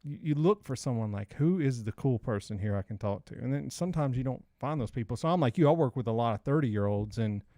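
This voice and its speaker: A man's voice: medium pitch, animated, fast talker, few pauses, mellow, no echo. A man in his forties co-hosting a podcast with casual, slangy banter and rambling storytelling. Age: 40s. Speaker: podcast co-host.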